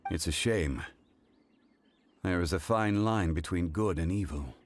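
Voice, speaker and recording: A man voicing an ancient, dignified male god who speaks in a measured, formal, grave way. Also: deep voice, dry recording. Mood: solemn.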